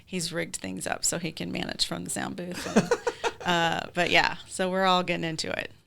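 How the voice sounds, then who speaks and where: A woman's voice: fairly low pitch, little pitch movement, moderate pace, vocal fry, fairly bright tone, slight background noise. An American woman chatting casually and informally as a theater director being interviewed, explaining things in a conversational way.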